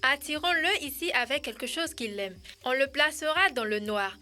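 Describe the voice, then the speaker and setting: High, bright little galago. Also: female voice, moderate pace, faint music behind. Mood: confident. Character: helpful big-eyed galago, a small night animal. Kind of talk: educational children's cartoon dub.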